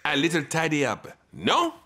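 A woman voicing a fussy, excitable French kitchen boss in a children's cartoon, with theatrical exclamations. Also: low voice, normal speed, heavy background noise. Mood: exasperated, fussy. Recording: loud music bed under dialogue.

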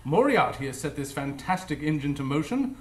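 An actor playing a brisk, analytical gentleman detective, formal and declamatory, in a live radio drama. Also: on the high side, very animated. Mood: emphatic, confident. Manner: declamatory, formal, self-assured. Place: live stage before an audience. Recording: echoey live stage recording.

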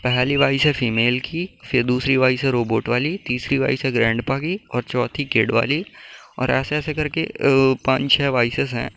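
A man's voice: mid-range voice, fairly even intonation, normal speed, vocal fry, pushed and bright, a little hiss or hum. A young man giving a casual, informal app tutorial, talking to viewers like buddies. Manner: informal, addresses viewers as bro. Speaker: tech tutorial YouTuber.

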